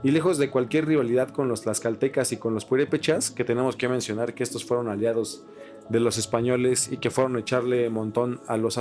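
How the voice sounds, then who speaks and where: A Mexican man co-hosting a casual podcast, with a middle-pitched voice and informal, opinionated talk full of slang. Soft guitar music plays behind him. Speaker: podcast co-host.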